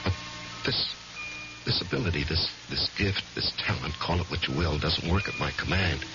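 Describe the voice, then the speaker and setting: An American actor playing a troubled middle-aged lawyer in an old-time radio drama, speaking hesitantly and haltingly. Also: male voice, rumbling low, music in the background. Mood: brooding. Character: troubled, guilt-ridden male lawyer, torn and evasive. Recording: muffled vintage radio recording.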